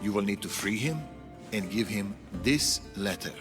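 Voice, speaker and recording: Stern, deep-voiced strategist in a reverberant hall male actor. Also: lively intonation, natural voice. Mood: stern, purposeful. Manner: measured, commanding. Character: stern, calculating male rebel strategist giving orders. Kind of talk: video game cutscene acting. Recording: long reverberant hall, music behind.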